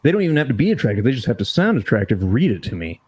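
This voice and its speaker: A man's voice: medium pitch, very animated, rapid-fire, a little mellow, breathy edge. A man co-hosting a podcast in casual banter, with a crude, joking manner and free swearing. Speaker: podcast co-host.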